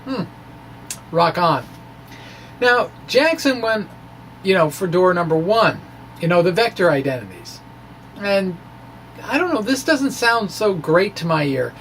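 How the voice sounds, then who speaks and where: Playful higher-voiced man, noisy recording. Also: sing-song, unhurried, pauses often, fairly bright tone. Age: middle-aged. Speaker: physics blogger reading his own blog. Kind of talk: dramatic reading of a science blog with informal asides. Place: small indoor room with bookshelves. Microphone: microphone on a stand in front of him.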